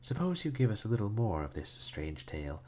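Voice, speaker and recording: A man's voice: fairly low pitch, big pitch swings, fairly quick, warm tone, slight background noise, voice pushed up. A man voicing a wistful but sarcastic, worldly-wise young woman in a storybook, with a languid, teasing manner.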